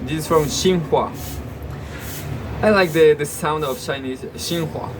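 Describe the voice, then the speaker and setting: Guy reading news, kinda high voice, stumbles and repeats words, loud ac hum behind him. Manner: halting, repeats words, sarcastic asides. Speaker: news commentator. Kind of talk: reading news with off-the-cuff commentary.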